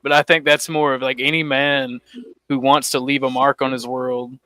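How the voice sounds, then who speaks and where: A man in casual panel chat who talks haltingly, with many restarts and careful hedges. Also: medium pitch, level tone, bright, forceful tone, no room sound.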